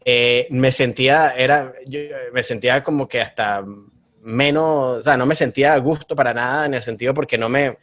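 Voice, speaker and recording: A man with a Venezuelan accent, a stand-up comedian chatting as an interview guest in a relaxed, rambling way. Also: mid-range voice, normal speed. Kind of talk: casual conversation.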